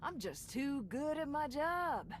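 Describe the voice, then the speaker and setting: A sassy mechanic. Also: female voice, sits high, big pitch swings, background noise. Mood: sarcastic. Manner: sarcastic and quick. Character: sassy female ship mechanic.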